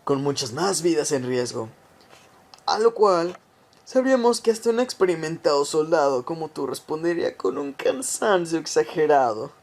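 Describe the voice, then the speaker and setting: A man playing a cold government conspirator in a radio drama, confessing a plot to his victim in a deliberate, taunting, menacing way. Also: a little high, lively intonation, darkish tone. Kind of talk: amateur radio drama monologue.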